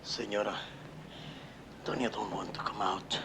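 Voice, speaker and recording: A man's voice: fairly low pitch, moderate pace, natural voice. An actor playing an intense spiritist healer, a solemn, commanding man. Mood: solemn, insistent. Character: intense male spiritist healer urging belief. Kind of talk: film drama acting. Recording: echoey room with background noise.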